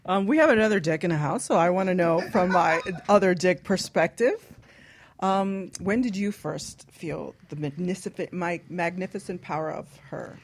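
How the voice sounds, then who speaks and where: A woman hosting a casual radio talk show, playful and quick to laugh, reading a short excerpt aloud. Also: fairly low pitch, a little hiss or hum. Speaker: host.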